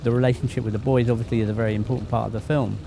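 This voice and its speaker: A man interviewing film guests with brisk questions. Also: mid-range voice, level tone, creaky, mellow, faint music behind. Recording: crowd noise behind.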